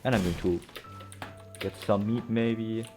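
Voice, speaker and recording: A man's voice: fairly low pitch, steady tone, measured pace, darkish tone. A man giving casual, rambling gameplay commentary with a sardonic manner. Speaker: let's player. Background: game music.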